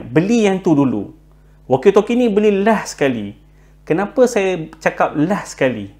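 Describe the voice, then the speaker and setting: A man with a Malaysian accent explaining tips informally and unscripted, pausing on fillers, repeating himself and mixing in English words. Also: mid-range voice. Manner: halting, frequent filler words and repetition; code-switches with English words. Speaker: presenter giving buying tips.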